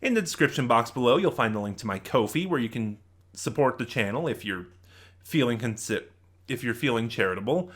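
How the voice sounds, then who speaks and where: A man giving an unscripted, opinionated review to his viewers as an online reviewer, talking emphatically with halting stops and repeated phrases. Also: medium pitch, expressive, a bit bright. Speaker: YouTube audio drama reviewer.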